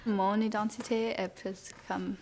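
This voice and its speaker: A woman's voice: medium pitch, little pitch movement, slow pace, darkish tone. Young female, medium register, colloquial, unscripted interview delivery. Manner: colloquial, peppered with "comme". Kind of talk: casual interview answer.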